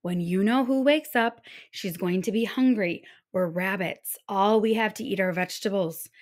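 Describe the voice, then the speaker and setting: A woman's voice: medium pitch, moderate pace, natural voice. Woman as weary, scheming papa hare. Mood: sly. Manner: muttering, exasperated.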